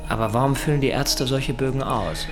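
An actor playing a stern, curt interrogator in a radio drama, asking short probing questions. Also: male voice, mid-range voice, fairly quick, over music, lightly acted. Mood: probing, cool. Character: stern male interrogator named Henry, curt and insistent.

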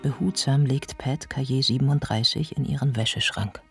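An actor playing a sober male narrator in a radio drama, reading scripted narration in a measured way. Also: medium pitch, gravelly, faint music behind, lightly acted.

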